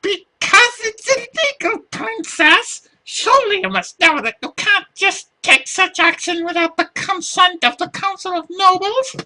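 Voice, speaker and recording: A woman voicing an officious, scolding male pony noble with a shrill voice in a dramatic story reading. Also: a little slow, few pauses, sharp tone, heavily acted, poor audio. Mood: scolding, exasperated. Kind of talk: dramatic fan fiction reading.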